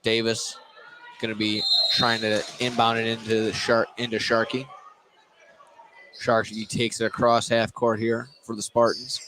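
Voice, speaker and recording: Man calling basketball over loud gym noise. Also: on the low side, little pitch movement, measured pace, poor audio. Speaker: play-by-play basketball announcer. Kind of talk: live sports commentary. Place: indoor gym at a basketball game. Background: loud game ambience.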